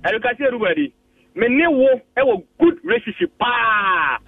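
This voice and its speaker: A man with a Ghanaian accent calling in to a radio sports show, arguing his point haltingly and mixing Twi and English. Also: extremely high pitch, very animated, no room sound. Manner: argumentative, halting, repeats himself, mixes Twi and English. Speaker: phone-in caller. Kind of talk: heated opinion on a radio call-in. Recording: dry tinny phone line, distant.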